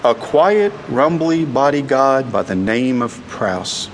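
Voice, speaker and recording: A man's voice: mid-range voice, animated, slow, slight background noise. An actor playing a cynical, wisecracking male private eye in a noir audio drama, with a sardonic, hardboiled delivery. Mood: wry narrating.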